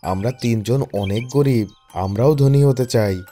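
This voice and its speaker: A man's voice: medium pitch, unhurried, mellow, faint music behind, natural voice. A man playing a poor, greedy young schemer in scripted cartoon fable dialogue. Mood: pleading.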